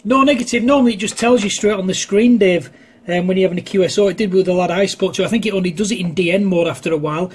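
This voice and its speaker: Male radio hobbyist, high husky voice, chatty and rambling, informal. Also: racing. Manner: chatty, rambling, hesitant delivery. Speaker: amateur radio operator. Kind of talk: casual two-way radio chat. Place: indoors at a home radio station.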